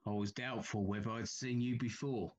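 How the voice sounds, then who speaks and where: Guy playing a haunted lonely signalman, lowish voice, terse n wary, victorian radio play. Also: steady tone, normal speed, highs cut off, lightly acted. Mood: wary, suspicious. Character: guarded, haunted, solitary Victorian railway signalman. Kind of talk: audio drama acting.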